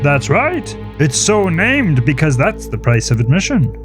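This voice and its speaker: A man's voice: fairly high pitch, big pitch swings, a little slow, music in the background, pitched above the performer's own voice. A man voicing a cheerful, showy carnival guide with a theatrical announcer's manner in a horror audio story. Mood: breezy, showy.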